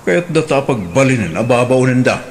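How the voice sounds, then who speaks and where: A man's voice: medium pitch, lively intonation, moderate pace, natural voice. A man playing a practical, grumbling older brother and family man in a radio drama, with an Ilocano accent. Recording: faint background noise.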